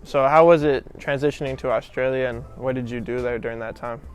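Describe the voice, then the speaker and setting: A man's voice: mid-range voice, steady tone, fairly quick. A young man in his twenties hosting a casual interview, introducing his guest and asking questions. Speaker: interviewer and host. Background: background music.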